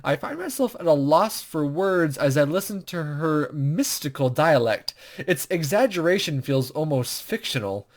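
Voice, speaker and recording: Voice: medium pitch, animated, moderate pace. A let's play host with a chatty, self-deprecating manner, commenting casually on a game and reading its text aloud.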